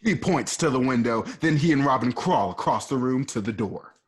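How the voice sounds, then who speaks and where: A man with a husky voice casually narrating stage directions for a radio play, adding asides. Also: mid-range voice, moderate pace. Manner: casual, animated, adds asides.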